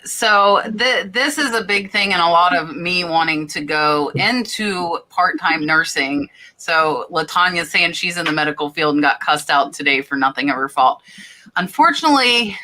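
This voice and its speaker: A woman's voice: fairly low pitch, lively intonation, normal speed, pushed and bright, rough recording. A woman from Florida with an American accent, hosting a casual live chat and answering viewer questions with blunt, practical advice. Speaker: host of a reseller live show.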